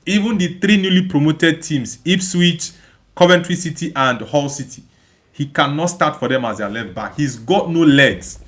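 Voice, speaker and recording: Higher-pitched man hosting a casual livestream. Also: lively intonation, moderate pace, fairly bright tone. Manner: emphatic, repeats phrases as he thinks aloud. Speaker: livestream host. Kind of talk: casual live chat, reading viewer comments aloud and replying.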